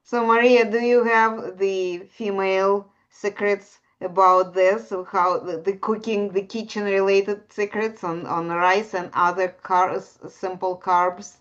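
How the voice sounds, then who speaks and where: Woman host, slow deliberate pace, lowish voice, encouraging, lo-fi sound. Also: steady tone, a bit bright. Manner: slow, encouraging with frequent "mm-hmm". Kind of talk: casual interview.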